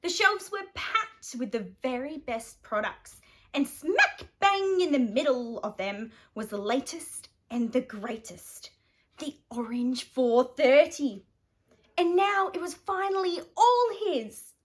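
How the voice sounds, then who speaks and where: Aussie lady reading a bedtime story for kids, really expressive, high voice that swoops around. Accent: Australian. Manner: animated, dramatic pauses and emphasis. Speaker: storyteller reading a picture book.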